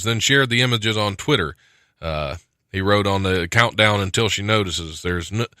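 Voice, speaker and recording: Low, bright-voiced American podcast host. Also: male voice, dry recording, clean studio sound. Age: around 40. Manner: self-deprecating, joking. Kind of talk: casual chat and reading odd news items. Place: small studio. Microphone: close studio mic on a stand.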